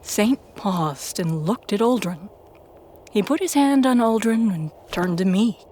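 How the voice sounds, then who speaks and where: A woman narrates a scripted story in the first person. Her voice is medium in pitch, with clearly audible ambient noise behind it. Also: expressive, measured pace, mellow.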